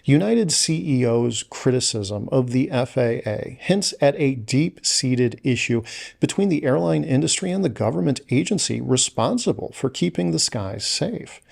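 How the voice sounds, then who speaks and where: A man reading scripted news as a podcast host, with formal, measured phrasing. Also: mid-range voice, creaky, darkish tone, slightly rough.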